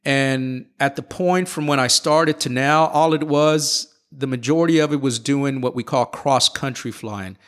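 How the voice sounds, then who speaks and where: A middle-aged man, an airline captain, telling his story in a casual interview, relaxed and explanatory with frequent fillers and restarts. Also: mid-range voice, measured pace, few pauses.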